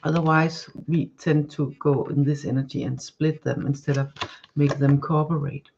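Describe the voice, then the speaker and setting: A woman's voice: deep voice, flat delivery, moderate pace, vocal fry, darkish tone, dull audio, a little hiss or hum. Woman reading tarot cards. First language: Danish. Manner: searching for words, many restarts and fillers. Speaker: tarot card reader. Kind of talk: live-stream tarot reading.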